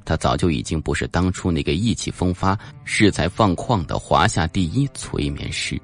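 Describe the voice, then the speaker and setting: Male narrator for suspense audiobook: deep, gravelly, dramatic, deliberate delivery. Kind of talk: scripted suspense novel narration.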